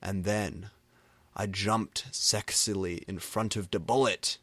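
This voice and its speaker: Slow, low-voiced man reading fan fiction. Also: steady tone, a bit bright, no echo.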